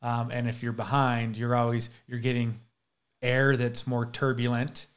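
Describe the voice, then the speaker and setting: A man's voice: medium pitch, completely flat delivery, unhurried. Enthusiastic male host on a podcast mic. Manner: enthusiastic, self-deprecating, many restarts. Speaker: interview host. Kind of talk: casual interview chat. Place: indoor studio room with posters. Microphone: desk podcast mic, seen.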